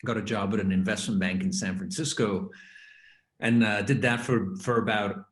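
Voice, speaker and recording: A man's voice: lowish voice, steady tone, normal speed. A middle-aged man from Belgium, guest in a casual interview, talking unhurriedly with frequent restarts. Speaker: guest, finance founder. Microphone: video call. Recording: echoey room.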